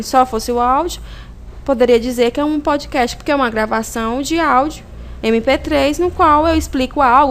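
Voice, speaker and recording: A woman with a high voice and a Brazilian Portuguese accent presents a video lesson. She explains in an informal, didactic way, posing questions and answering them herself, with frequent little tag questions to check that listeners follow. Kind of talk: informal recorded lecture.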